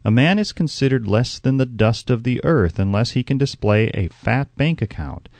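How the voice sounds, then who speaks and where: A man reading an audiobook as scripted narration, in a measured, formal and emphatic way. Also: medium pitch, expressive, a little mellow, dry recording.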